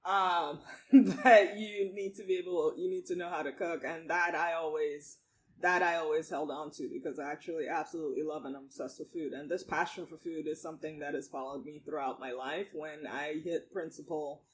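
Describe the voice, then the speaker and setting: A woman around forty, a startup founder talking as a guest in a casual interview, animated, laughing often and saying um a lot. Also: low voice, fairly quick, slight background noise. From Nigeria.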